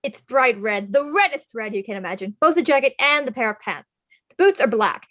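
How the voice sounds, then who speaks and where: A woman's voice: on the high side, lively intonation, brisk, fairly bright tone, lo-fi sound. A woman playing an enthusiastic, encouraging skeleton town leader in a live script read. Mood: excited. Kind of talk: live amateur table read of a film script.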